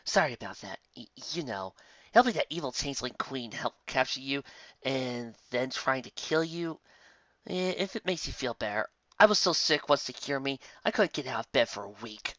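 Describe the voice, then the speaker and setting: A casually smug unicorn mare, acted out by a story narrator, flirtatious and sly. The lines are teased out softly with lively inflection in a fan fiction reading, on a slightly muffled recording. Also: medium pitch, normal speed, no room sound, close to an everyday voice. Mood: sheepish. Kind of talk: fan fiction character dialogue.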